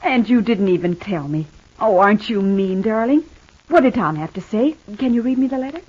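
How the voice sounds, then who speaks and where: A muffled vintage radio recording carries a devoted, gentle wife in a soap opera. Her voice is husky and very expressive in pitch. She coaxes tenderly and earnestly, like a brave patient reassuring her husband. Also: normal speed. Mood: brightly curious. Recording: dull, band-limited old radio recording.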